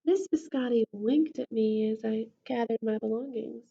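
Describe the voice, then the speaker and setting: A woman school counselor reading a picture book to children in an expressive, casual storytime style. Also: on the high side, moderate pace, creaky, slightly rough, highs cut off. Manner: animated, acts out the character lines. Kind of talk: expressive children's read-aloud with casual asides.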